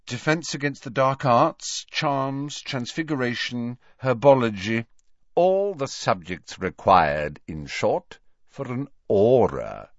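A man voicing a cold male teacher in an audiobook, quiet, dry and sneering. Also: lowish voice, big pitch swings, measured pace, no room sound, lo-fi sound.

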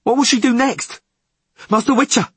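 Bellowing, panicked ship's captain, high and hoarse. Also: male voice, fairly even intonation, moderate pace, fairly bright tone, no echo, heavily acted. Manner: bellowing, frantic. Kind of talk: audiobook character acting.

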